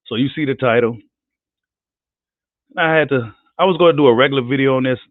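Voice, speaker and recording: A hoarse man who says he is losing his voice, ranting heatedly and profanely on a live boxing vlog. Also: mid-range voice, brisk, no echo. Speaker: boxing commentator. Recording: tinny phone-like line, dry.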